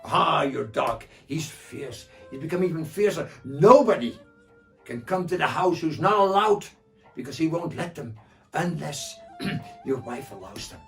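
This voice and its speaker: A man performs a hungry, scheming trickster who drapes bad news in flowery praise. The voice sits high and loud, with a gravelly grain, heard from a distance over faint music. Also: animated, measured pace, heavily acted. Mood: smooth-talking.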